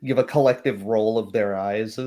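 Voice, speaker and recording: A man's voice: lowish voice, steady tone, moderate pace, dark tone. A man narrating a tabletop roleplay as game master in a conversational, hesitant way.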